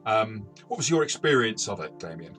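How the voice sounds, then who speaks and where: A man's voice: fairly low pitch, big pitch swings, moderate pace, fairly bright tone, faint music behind. A man co-hosting a review, talking in a casual, discursive and explanatory way. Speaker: co-host and game reviewer.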